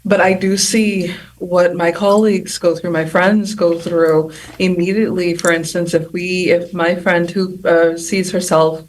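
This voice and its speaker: A woman speaking as a panel guest in a roundtable discussion, chatty and animated, with many fillers and restarts. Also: lowish voice, measured pace, few pauses, far from the mic, slight background noise, poor audio. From Bahamas.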